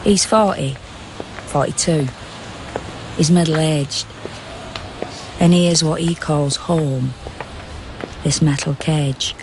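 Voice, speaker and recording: An actor narrating a poetic radio drama as a solemn, all-seeing storyteller, speaking in measured, rhythmic verse. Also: medium pitch, noisy background. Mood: measured, knowing. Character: solemn omniscient storyteller of a city at night.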